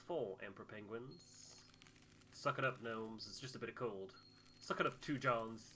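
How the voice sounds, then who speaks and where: A man's voice: lowish voice, normal speed, sharp tone, a little hiss or hum. A man giving casual, jokey commentary on a game he is playing. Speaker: let's player reading game dialogue aloud.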